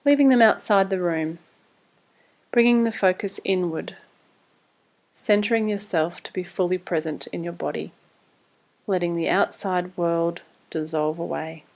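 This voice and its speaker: A woman leading a guided body scan meditation, giving scripted instructions in a calm, measured, soothing way. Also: on the low side.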